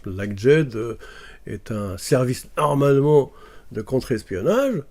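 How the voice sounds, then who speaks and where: A man's voice: mid-range voice, animated, slow pace, darkish tone. A man from Morocco, talking casually to camera and hesitating often with fillers and restarts. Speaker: video commentator.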